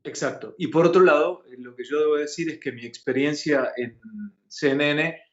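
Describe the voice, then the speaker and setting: A man's voice: fairly high pitch, moderate pace, echoey room. A man from Argentina with an Argentine accent, hosting a live news chat and talking with emphasis. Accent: Argentine Spanish. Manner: animated, emphatic, asks co-host questions. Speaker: news host. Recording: muffled broadcast audio.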